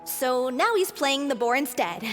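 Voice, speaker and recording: High-voiced, fussy young actress. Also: female voice, very animated, a little slow, a bit bright, exaggerated voice. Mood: dry. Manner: nagging, emphatic. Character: fussy, scolding, caring young actress, once sickly. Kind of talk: anime game voice acting. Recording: music behind the voice. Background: game music.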